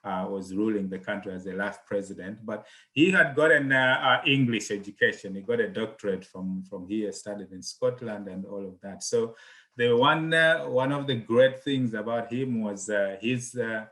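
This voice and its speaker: Interview guest: man from Malawi, middle-aged, lowish bright voice, halting delivery with fillers. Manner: hesitant, many fillers and restarts. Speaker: guest, accountant and property investor. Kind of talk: conversational interview.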